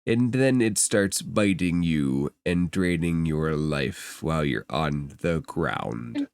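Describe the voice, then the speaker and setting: Man plays huge blue-skinned tabletop fantasy figure. Stern, guarded, grave, swinging pitch, measured pace. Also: expressive, creaky, darkish tone, smooth, no echo, heavily acted. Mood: grave warning. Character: very large bluish male fantasy humanoid, stern and guarded. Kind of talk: tabletop game character acting.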